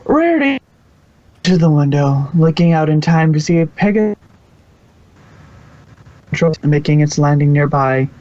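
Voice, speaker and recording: A man's voice: a little high, expressive, measured pace, long pauses, dark tone. A man narrating a fan fiction story aloud as an amateur reader, in storybook style. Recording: dull, lo-fi home microphone.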